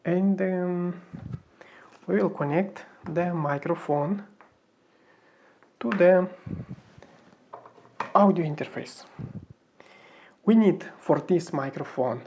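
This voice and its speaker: A woman testing microphones in a room, talking casually and hesitantly with many fillers, and reading haltingly from a book. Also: fairly low pitch, mellow, a little hiss or hum. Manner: reads aloud haltingly from a book. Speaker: gear reviewer testing microphones. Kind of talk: casual mic test vlog. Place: indoor room. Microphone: various test microphones, condenser among them.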